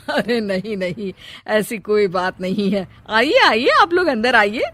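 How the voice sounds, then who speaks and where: A woman's voice: mid-range voice, animated, takes it slowly, a bit bright, no echo. A woman voicing an exasperated, scolding older Indian mother-in-law in a Hindi cartoon story, sarcastic and dramatic. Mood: apologetic.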